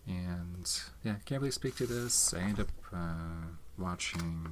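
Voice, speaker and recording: A soft-spoken man chatting casually in an ASMR style, hesitant, rambling and unhurried. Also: deep voice, a little hiss or hum. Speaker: video host showing movie collection. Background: tapping and handling of movie cases.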